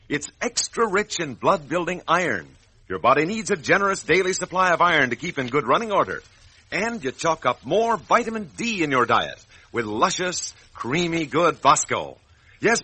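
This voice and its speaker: A man's voice: on the high side, pushed and bright, breathy edge. A man announcing and reading sponsor copy for children in 1940s radio style. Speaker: announcer and pitchman.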